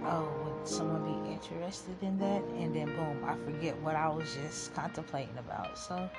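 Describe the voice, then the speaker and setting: A woman hosting a casual solo podcast, talking into her phone with a soft voice. She rambles to her listeners in an informal, slangy way. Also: low-pitched, moderate pace. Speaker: podcast host. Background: guitar music.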